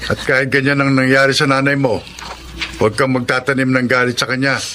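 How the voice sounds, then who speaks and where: An actor as a caring Filipino uncle in a radio drama. His mid-pitched, bright voice gives earnest advice, with faint noise behind it. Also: level tone, brisk, close to an everyday voice. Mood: concerned, gentle. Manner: earnest, avuncular. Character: kind, concerned uncle, steady and advising.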